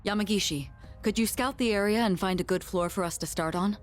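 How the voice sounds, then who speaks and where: A woman voicing a composed, authoritative young woman commander in an anime video game, formal and measured. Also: medium pitch, soft background music, close to an everyday voice. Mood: composed, commanding.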